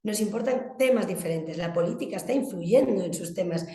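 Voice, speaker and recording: A woman's voice: mid-range voice, a little fast, mellow, reverberant. A woman from Galicia with a Spanish accent from Spain, giving explanatory, emphatic interview answers and often rephrasing as she goes. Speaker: interviewed guest, communications agency director.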